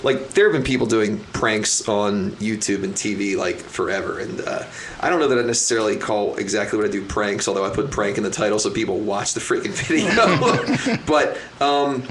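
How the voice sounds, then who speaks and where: A man, a magician and guest, chatting casually in an interview. He talks loudly, with many restarts and fillers. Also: fairly low pitch, animated, normal speed. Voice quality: loud, rambling with many restarts. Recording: faint noise, live stream.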